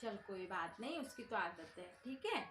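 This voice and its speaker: A woman's voice: mid-range voice, very animated, moderate pace, fairly bright tone, soft background music. A woman playing a caring, consoling mother-in-law in an amateur moral story, speaking in a motherly way. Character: soothing, caring mother-in-law, gentle with daughter-in-law.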